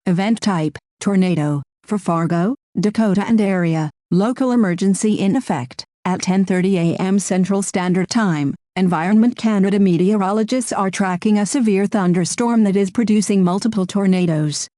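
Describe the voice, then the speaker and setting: Female announcer for emergency alerts: soft dark timbre, very dry sound, measured synthetic formality. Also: medium pitch, no echo. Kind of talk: scripted emergency weather alert.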